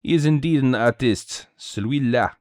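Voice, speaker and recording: A man's voice: medium pitch, normal speed, a little mellow, no room sound, studio quality, voice pushed up, lightly acted. A man voicing a small, cheerful, self-assured detective with a courteous, precise, theatrical manner, in a storybook reading. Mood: knowing, animated.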